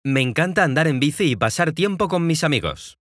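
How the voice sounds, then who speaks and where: A man in his twenties with a Mexican accent, narrating clearly and in a friendly way for language learners, addressing the listener directly. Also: on the high side, animated, a little fast, a bit bright, no echo, clean studio sound. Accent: Mexican Spanish. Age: 20s. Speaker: podcast host.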